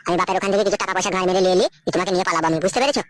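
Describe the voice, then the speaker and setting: A woman's voice: on the low side, very fast, natural voice. A woman playing a surly, scheming young village man who grumbles crudely in an amateur cartoon comedy. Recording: loud background noise.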